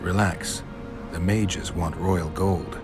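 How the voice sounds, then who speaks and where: A stoic monster hunter in a game, with a very low voice. He is sardonic and terse, speaking deadpan over clear background music. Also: slow. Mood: terse, calm. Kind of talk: video game voice acting. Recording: music behind the voice.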